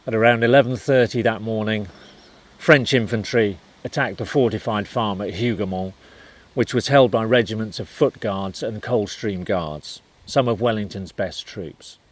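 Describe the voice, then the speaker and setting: A man's voice: fairly low pitch, moderate pace. A man reading scripted narration for a historical documentary.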